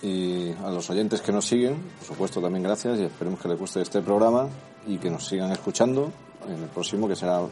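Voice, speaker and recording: A man hosting a radio show, signing off calmly and courteously. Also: deep voice, fairly quick, hardly any pauses. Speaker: radio host. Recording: radio broadcast with music behind.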